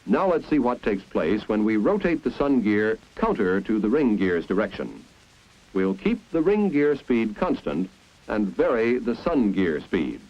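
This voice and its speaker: Lively 1950s American training-film narrator, male. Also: on the low side, measured pace. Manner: clear didactic explaining. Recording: dull, muffled 1950s film soundtrack.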